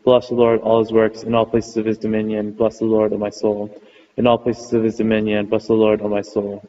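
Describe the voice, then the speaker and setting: A man reads psalms in an unhurried liturgical style, holding nearly one pitch throughout. The recording is muffled and dull. His voice trails off into a long church reverb. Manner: steady monotone chant-like reading. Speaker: reader of psalms.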